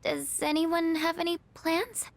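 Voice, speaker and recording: Very high-pitched sweet schoolgirl. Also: level tone, measured pace, creaky, exaggerated voice. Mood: friendly. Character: sweet, gentle, cheerful high school girl. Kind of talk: video game voice acting.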